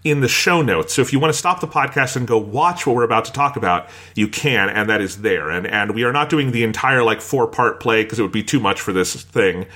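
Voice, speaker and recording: A man's voice: mid-range voice, animated, brisk, hardly any pauses, pushed and bright. A man hosting a podcast in a conversational style, introducing the show and guests. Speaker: podcast host.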